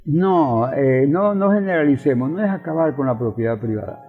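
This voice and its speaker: A man's voice: medium pitch, lively intonation, moderate pace. An older man with a Venezuelan accent, explaining and reminiscing in a conversational interview, emphatic and given to repeating himself. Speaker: interview guest, professor and politician. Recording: roomy reverb, thin phone-like sound, faint music.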